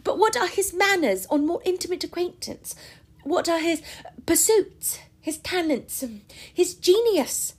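A woman voicing a passionate, romantic young woman who speaks high and emphatic. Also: animated, measured pace, darkish tone. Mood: indignant.

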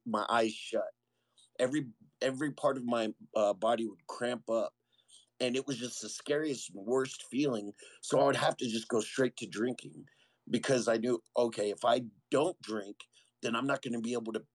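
A man's voice: medium pitch, normal speed, no echo, poor audio. A man, a former Marine, telling his own story as an interview guest in a candid, earnest way, often stopping and restarting.

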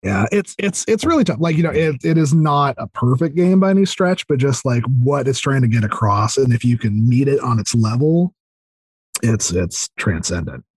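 Hesitant, enthusiastic man, husky close-up voice. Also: mid-range voice, lively intonation, moderate pace, a little mellow, no room sound. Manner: hesitant, thinking aloud, enthusiastic. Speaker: podcast guest. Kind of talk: casual interview chat about video games.

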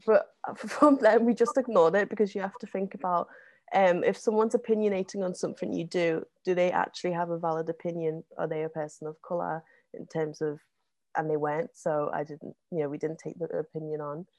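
A woman, a guest on a casual podcast, talking with many ums and likes and getting animated in bursts. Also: on the low side, fairly quick, no echo. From North West England. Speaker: interview guest, zine co-founder.

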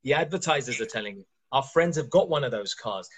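A man from Kenya hosts a relaxed conversation with a husky voice at an average pitch. He speaks emphatically and drives home his points with rhetorical questions. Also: brisk. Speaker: coach and podcast host. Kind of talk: casual interview chat.

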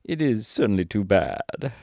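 Man as a low, tender-hearted tin woodman. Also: very animated, measured pace, gravelly, darkish tone, no room sound, clean studio sound, exaggerated voice. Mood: sympathetic.

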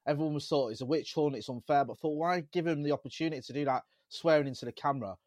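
A man with a Manchester accent tells football stories at a quick, tumbling pace. His voice sits a little high and grows animated as he recounts matches and acts out the fans he met. The recording is dry and close, with almost no room sound. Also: dry recording. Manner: animated storyteller, jumps into mimicry of fans. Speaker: podcast panelist, former local radio reporter. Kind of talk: casual football podcast chat.

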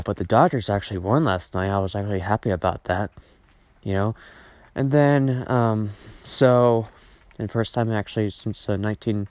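Teen guy rambling on his podcast, tons of "you know" and "i don't know", swears casually, smooth voice, dry close mic. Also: lowish voice, no echo. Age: teens. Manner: rambling with many fillers and restarts, casual swearing. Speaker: podcast host. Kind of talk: rambling solo podcast monologue.

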